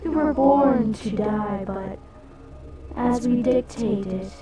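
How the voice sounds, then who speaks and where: A ravenous, dying creature voiced by a woman speaks slowly and deliberately, as one voice for many. It is menacing and ominous, set back from the mic under loud music in an audio drama. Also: on the high side, distant mic. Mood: menacing, grieving.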